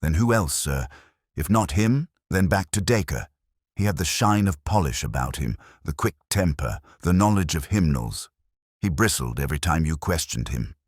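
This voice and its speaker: A man voicing an excitable, blunt, impatient police sergeant in a mystery audiobook. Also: very deep voice, moderate pace, no echo, clean studio sound. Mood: insistent. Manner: blustering, blunt, exasperated. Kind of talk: mystery audiobook character dialogue.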